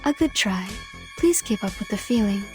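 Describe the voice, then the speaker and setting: An actress playing a composed, encouraging woman mentor with a gentle, formal manner in a video game. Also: mid-range voice, expressive, moderate pace, soft background music, lightly acted. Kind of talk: English video game character acting.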